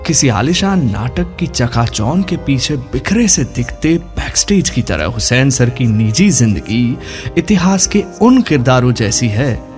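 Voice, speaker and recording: A male narrator tells a scripted story in a theatrical way. His mid-pitched voice rises and falls widely, with music underneath. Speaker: narrator of an audio story series.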